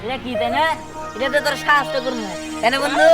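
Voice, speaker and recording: A woman's voice: sits high, fast talker, over loud music, over a noisy crowd, close to an everyday voice. A woman playing a cocky, boastful village boy in a fairy-tale drama, taunting. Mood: defiant, taunting.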